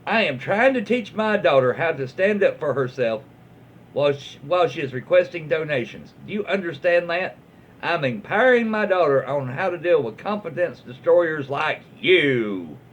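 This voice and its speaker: A man's voice: on the high side, animated, moderate pace, highs cut off, slight background noise, close to an everyday voice. A man voicing an entitled, confrontational mother, indignant and emphatic, for a read-aloud internet story. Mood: scolding.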